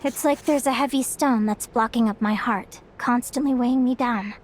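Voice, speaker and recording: A woman's voice: fairly high pitch, moderate pace, a little hiss or hum, lightly acted. A woman voicing a young explorer caught in a troubling dream. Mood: wistful. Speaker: game character. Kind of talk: game voice acting.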